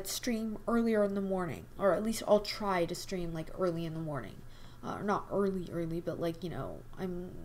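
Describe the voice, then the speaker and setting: Hoarse young woman losing her voice. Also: mid-range voice, a little hiss or hum. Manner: quiet, rambling, many fillers. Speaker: gaming channel host. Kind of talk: casual chat over gameplay. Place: home desk at night.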